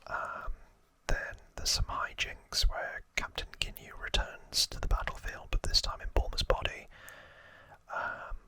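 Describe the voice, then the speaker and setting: A man hosting ASMR, whispering informal trivia narration in a hushed, rambling way with casual asides. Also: unhurried, no echo. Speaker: ASMR host.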